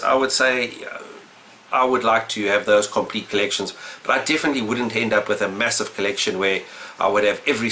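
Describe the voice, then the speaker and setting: Bright-voiced South African man. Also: fairly low pitch, slight background noise. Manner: relaxed, rambling, frequent uh and um. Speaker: gaming YouTuber. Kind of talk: casual unscripted vlog answering questions.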